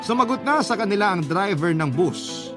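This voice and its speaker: An earnest man tells a worried first-person story for a radio drama. His voice is fairly high and emotional, with clear music underneath. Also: lively intonation, normal speed. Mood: anxious, prayerful. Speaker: letter-sender narrator. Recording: music bed under narration.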